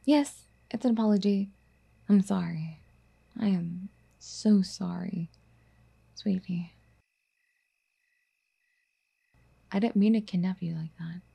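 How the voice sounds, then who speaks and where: A woman performs a yandere girlfriend for an ASMR roleplay. Her voice is dark, mellow and husky, often slipping toward a breathy whisper. She coos and coaxes with clingy, obsessive devotion. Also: mid-range voice, unhurried, exaggerated voice. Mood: sheepish, apologetic. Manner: cooing, coaxing pet-name sweet talk.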